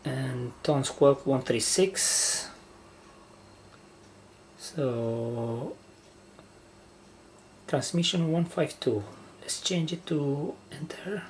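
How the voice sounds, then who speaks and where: A man giving a casual, halting step-by-step demonstration of equipment in short phrases, as a hobbyist. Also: medium pitch. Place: indoor room with wooden surface. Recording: noisy handheld camera recording indoors.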